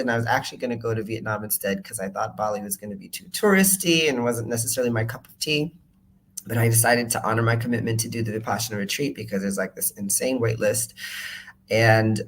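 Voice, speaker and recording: A man's voice: on the low side, fairly quick, a little hiss or hum, poor audio. A man of about thirty from the United States, a podcast guest telling his story in a casual, chatty way with frequent fillers. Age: 30s. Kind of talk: interview storytelling.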